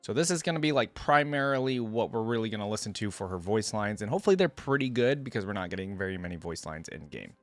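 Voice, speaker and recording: A man's voice: fairly low pitch, animated, fast talker, few pauses, no room sound. A man doing casual reaction commentary, thinking aloud in laid-back, slangy language. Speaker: reaction video creator and commentator.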